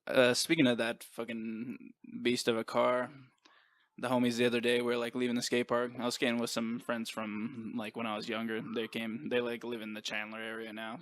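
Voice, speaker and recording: A man's voice: medium pitch, a bit bright. A man chatting as a podcast guest, laid-back and slangy, swearing freely. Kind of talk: casual chat over beers.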